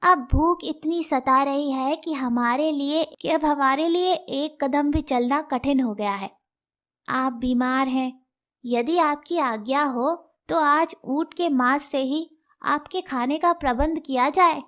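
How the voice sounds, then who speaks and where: A woman plays a deferential jungle animal serving the lion king in a storybook fable. The voice is high and slow, respectful and pleading. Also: a bit bright. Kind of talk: animal fable character acting.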